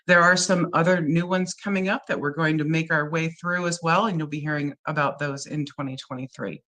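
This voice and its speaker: Woman planning manager with a cold, voice gone deep, explaining stuff with lots of ums. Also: fairly even intonation, brisk, a bit bright. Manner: explanatory, with frequent ums and uhs. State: under the weather, with a deeper, rougher voice than usual. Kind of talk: staff presentation to a commission.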